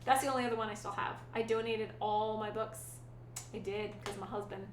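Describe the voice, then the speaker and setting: A woman's voice: medium pitch, fairly even intonation, fairly quick, echoey room, slight background noise. A woman streamer chatting casually with her viewers, rambling and swearing freely. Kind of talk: casual chat with live chat.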